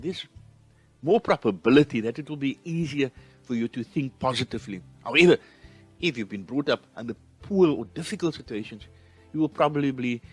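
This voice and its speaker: A man's voice: mid-range voice, breathy edge. A man with a South African accent hosting a motivational podcast, speaking in an earnest, encouraging way. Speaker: podcast host.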